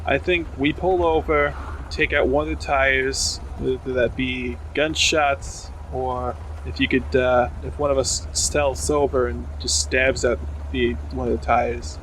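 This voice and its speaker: A man playing a tabletop roleplaying game, talking casually and haltingly as he thinks aloud. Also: medium pitch, level tone, fairly bright tone, a little hiss or hum, low-quality recording. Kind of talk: casual in-character game talk.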